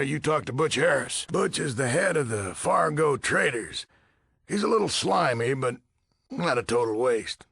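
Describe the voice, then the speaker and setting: An actor playing a gruff, blunt, folksy old military leader in a video game, with a gravelly, husky voice. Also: male voice, a little high, a bit bright, dry recording, clean studio sound, full character voice. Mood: blunt, wry. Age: older. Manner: blunt, folksy, swearing, easily exasperated. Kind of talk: video game character dialogue.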